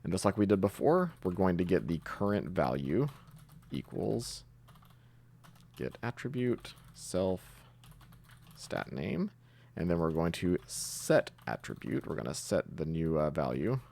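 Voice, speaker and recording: A man's voice: sits low, very animated, a little slow, vocal fry, darkish tone. A man giving an informal coding tutorial, explaining step by step and thinking aloud as he types. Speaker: programming tutorial host.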